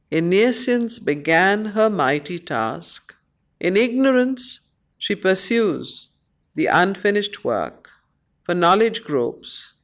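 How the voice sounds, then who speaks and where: A woman with an Indian accent, facilitating a study circle, reading and explaining verse in short, measured phrases. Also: fairly low pitch. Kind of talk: reading poetry aloud and explaining it to a study group.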